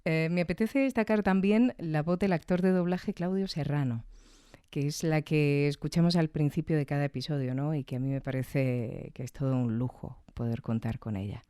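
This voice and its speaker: A woman podcaster talks through her review in a reflective, conversational way. Her voice is mellow and smooth, recorded close and dry. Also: deep voice, steady tone, moderate pace, no echo. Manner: reflective, frequent tag questions.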